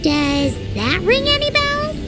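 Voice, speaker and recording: A squeaky, bouncy fairy sidekick, very high and lively, game music under her. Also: slow, heavily acted. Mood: chatty. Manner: chirpy, refers to herself in third person. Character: tiny floating female companion, bubbly and excitable. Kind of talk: game voice acting.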